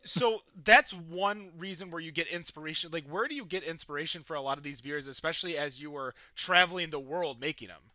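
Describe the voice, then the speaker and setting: A male podcast host asks long, wandering questions full of fillers. His voice is very bright and a touch high. The recording is dry and somewhat dull. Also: a little fast, hardly any pauses, no room sound. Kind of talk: casual interview.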